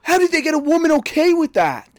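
A man's voice: extremely high pitch, sing-song, fast, no room sound, clean studio sound. A man co-hosting a podcast, rambling and crude in casual comedic chat, laughing a lot. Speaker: podcast co-host.

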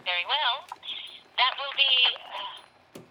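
An actress playing an efficient telegraph operator in an audio drama, formal, polite and unbending. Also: female voice, high voice, expressive, slow, pushed and bright, exaggerated voice. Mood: brisk, polite. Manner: formal, businesslike. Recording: dull telephone line, faint noise.

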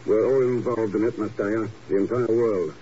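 An actor playing a cool, commanding secret agent in a 1950s radio drama, speaking in a clipped, deliberate way. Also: male voice, lowish voice, fairly even intonation, dark tone, close to an everyday voice. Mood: grave. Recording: muffled, hissy old radio recording.

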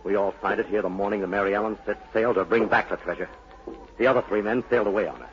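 Man acting a haunted, brooding low-voiced son. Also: fast, natural voice. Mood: bitter, intense. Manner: dramatic, insinuating, intense. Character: haunted, brooding son of a mad sea captain. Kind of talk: 1940s radio acting. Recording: muffled, hissy 1940s radio broadcast.

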